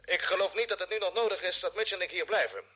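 An actor playing a composed, decisive expedition leader in an old radio drama. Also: male voice, high-pitched, quick pace, pushed and bright, husky, exaggerated voice. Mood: measured, hopeful. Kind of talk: 1950s Dutch radio drama acting.

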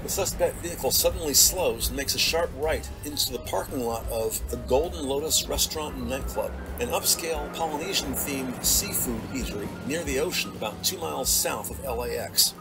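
A man narrating an audio drama in a measured, descriptive storytelling manner. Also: medium pitch, over music.

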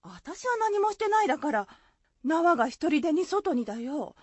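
A woman's voice: high voice, very animated, normal speed, no echo. A woman playing an anxious, caring rustic wife in a folk-tale radio drama, fretful and questioning, with Shizuoka dialect. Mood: puzzled.

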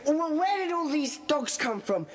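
A woman's voice: high-pitched, big pitch swings, unhurried, very noisy. A woman playing a bold, bossy schoolboy in a children's audio drama. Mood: earnest, concerned. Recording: reverberant cellar effect, old cassette.

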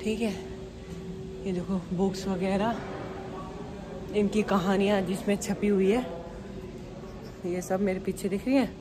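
A young woman with an Indian accent talks casually into a phone held at arm's length, pointing things out to her viewers. Her voice sits at a middle pitch. Steady background noise from the busy museum halls fills the recording. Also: unhurried, pauses often. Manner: chatty, addresses viewers directly. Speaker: travel vlogger. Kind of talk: casual walking tour vlog. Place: large indoor museum halls. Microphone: handheld phone at arm's length.

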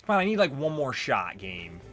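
A man's voice: mid-range voice, big pitch swings, a little fast, fairly bright tone. A young adult man giving loose, sweary, casual commentary as he plays a video game. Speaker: let's player. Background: game music.

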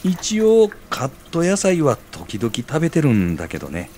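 An actor playing a mild, good-natured, slightly bashful bachelor in a scripted audio drama. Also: male voice, a little high, wildly swooping pitch, normal speed, a little mellow, a little hiss or hum. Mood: sheepish.

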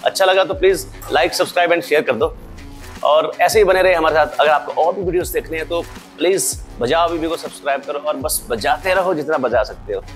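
A man's voice: on the high side, normal speed. A young man hosting his channel, giving an upbeat, promotional scripted intro from a studio. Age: young adult. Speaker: channel host. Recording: music bed underneath.

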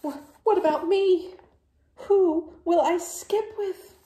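A woman's voice: extremely high pitch, warm tone, reverberant, pitched above the performer's own voice. Woman voicing a dramatic, wailing storybook elephant. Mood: pleading, wailing. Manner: theatrical, wailing, drawn-out words. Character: dramatic, anxious male cartoon elephant, pleading loudly.

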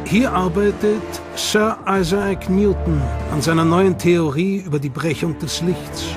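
A man's voice: high-pitched, a little slow. Man narrating a documentary over music. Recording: voice-over with loud music behind.

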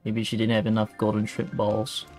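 A man's voice: on the low side, monotone, unhurried, darkish tone, faint music behind. A man giving casual, laid-back commentary while he plays through a game as a streamer.

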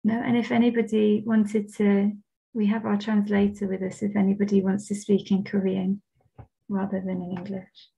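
A woman moderating an online panel, speaking in a measured, gently encouraging way. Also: mid-range voice, monotone, vocal fry, breathy edge. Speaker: webinar moderator. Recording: dull lo-fi video call audio.

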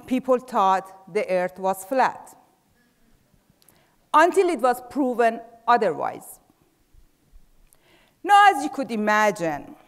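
Middle-aged Persian-accented woman, high voice, slow and measured stage delivery, reverberant hall. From Iran. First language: Farsi. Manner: measured, trailing off softly at phrase ends. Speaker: speaker. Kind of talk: prepared stage talk. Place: large dark theater stage. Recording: theater with long reverb.

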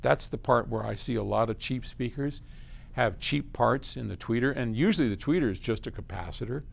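A man's voice: mid-range voice, a little fast, gravelly. An American man in his seventies giving a casual explanation to camera in an informal, emphatic, conversational way. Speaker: company chief answering viewer questions. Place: large open office lobby, indoors.

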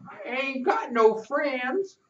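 Man putting on a squeaky scruffy boy. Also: expressive, slow, a bit bright, a little hiss or hum, full character voice. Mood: earnest. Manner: rustic ungrammatical talk. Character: scruffy boastful boy of about ten. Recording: roomy and distant, muffled.

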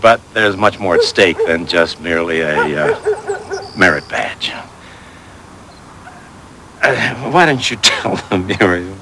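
Playful old grandpa, mock-pompous, animated pitch, background noise. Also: male voice, takes it slowly, long pauses, full character voice. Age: older. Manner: jokey, rambling, mock-pompous. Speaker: grandfather figure, newly engaged. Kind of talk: sitcom acting.

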